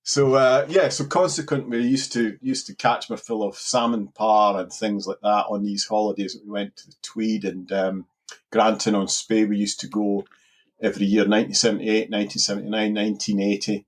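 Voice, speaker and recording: A middle-aged man from Scotland with an Edinburgh accent, a guest in a relaxed podcast interview who rambles and repeats words as he searches for them. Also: on the low side, moderate pace. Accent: Edinburgh Scottish. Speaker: guest angler.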